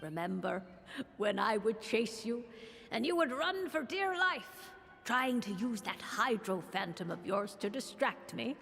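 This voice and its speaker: An actress playing a wry elderly astrologer mentor in a video game, speaking a measured, theatrical, cryptic monologue. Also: female voice, fairly high pitch, sing-song, creaky, fairly bright tone, full character voice. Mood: nostalgic teasing. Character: wry elderly woman astrologer mentor reading her letter aloud. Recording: long echoing reverb over music.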